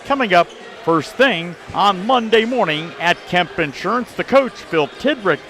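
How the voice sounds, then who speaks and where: A man's voice: high voice, animated, unhurried, bright, forceful tone. A man hosting a halftime report on a sports broadcast, moving between recap and sponsor reads. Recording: noisy gymnasium broadcast. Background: basketball gym ambience.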